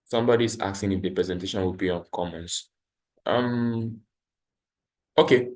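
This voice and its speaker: A man's voice: sits low, normal speed, a little mellow. A man facilitating a live online training, explaining steps instructively and repeating his points. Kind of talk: live tutorial with screen demonstration. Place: online video call, voice over screen share.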